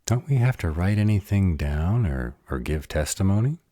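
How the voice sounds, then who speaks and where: Man playing a very deep, sardonic were-dragon. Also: very animated, normal speed, warm tone, dry recording, studio quality. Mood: wary. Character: male were-dragon, grumbling, sarcastic yet protective lover. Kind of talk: audio roleplay acting.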